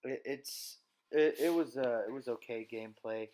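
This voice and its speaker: A male gaming video host with a medium-pitched voice. He commentates casually and rambles along, muttering bits to himself as he goes. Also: normal speed, dark tone.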